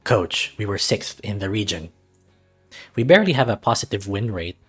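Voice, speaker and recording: A man reads as a cheeky male high school soccer player in a visual novel. He is smart-mouthed, with a dry, mock-obedient way of answering back. Faint music sits behind the voice. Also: animated, normal speed, a bit bright, breathy edge. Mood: teasing.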